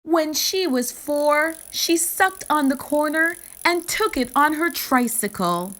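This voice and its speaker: High, bright woman reading a children's story. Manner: expressive, deliberate storytelling. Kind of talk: scripted picture-book read-aloud.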